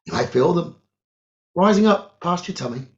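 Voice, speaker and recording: A man leading a guided meditation as a teacher, giving gentle instructions in short phrases with long pauses. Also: high voice, animated. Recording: roomy echo, dull lo-fi sound.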